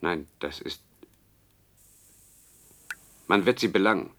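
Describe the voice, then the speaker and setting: Very slow, deep disembodied brain. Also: male voice, fairly bright tone, full character voice. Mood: anguished, protesting. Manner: halting, laboured, slow. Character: dead man's brain kept in a tank, anguished, protesting. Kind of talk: German science-fiction radio drama acting.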